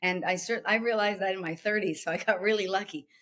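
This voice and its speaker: A woman's voice: mid-range voice, steady tone, fast talker, a bit bright. A woman with an Israeli accent, a coach being interviewed, explaining her method with rhetorical questions and listed steps. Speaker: guest executive coach.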